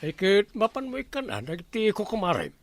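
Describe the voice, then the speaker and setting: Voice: medium pitch, wildly swooping pitch, fairly quick. A performer with an Ilocano accent playing a put-upon, evasive village captain in a radio comedy drama, hesitant and grumbling.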